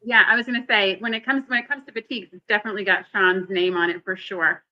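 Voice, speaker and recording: A woman's voice: mid-range voice, steady tone, fast, dull audio. A woman hosting a live quilting event on a video call, chatting in a bubbly, effusive way. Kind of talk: livestream chat.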